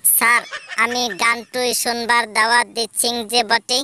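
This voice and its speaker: A dim-witted village schoolboy drawls his answers slowly in thick rustic speech, as a woman puts him on. The voice sits high and bright. Every word is stretched and unhurried. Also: monotone, a little hiss or hum. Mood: deadpan. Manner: slow drawling with rustic dialect.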